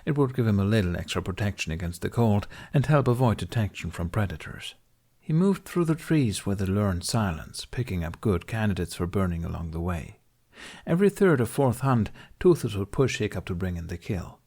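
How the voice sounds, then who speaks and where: A man's voice: fairly low pitch, very animated, brisk, darkish tone. A man reading scripted fiction as an audiobook narrator.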